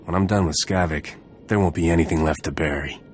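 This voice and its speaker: An actor playing a ruthless, sardonic smuggler captain in a video game, cocky and coldly threatening. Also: male voice, low voice, brisk, soft background music, natural voice. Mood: cold menace.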